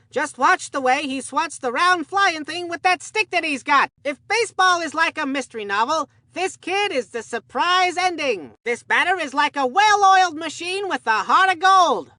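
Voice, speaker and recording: Goofy cartoon ballgame commentator, really high sharp voice, earnest and rambly, absent minded, folksy. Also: dry recording, clean studio sound, heavily acted. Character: excitable, scatterbrained kids' baseball commentator Abner Dubbleplay. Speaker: sports commentator. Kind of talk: cartoon video game sports commentary.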